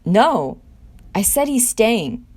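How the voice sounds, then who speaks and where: A loyal teenage orphan boy, read by a woman, pitched up from her usual talk. He protests earnestly and defiantly, as in a scene read-through. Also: big pitch swings, takes it slowly, a little mellow, slightly rough, heavily acted. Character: Gil, defiant, loyal, pleading sixteen-year-old orphan boy. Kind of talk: scripted scene table read.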